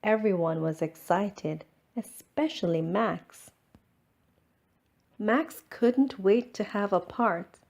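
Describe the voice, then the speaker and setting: A woman reading a children's storybook aloud as scripted narration, expressive and drawing out words. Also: mid-range voice. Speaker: storybook reader.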